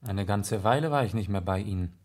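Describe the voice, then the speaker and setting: An actor playing a courteous, inquisitive gentleman detective in a radio play, polite and measured. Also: on the low side, expressive, lightly acted. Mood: casually inquisitive. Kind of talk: 1970s German radio play acting.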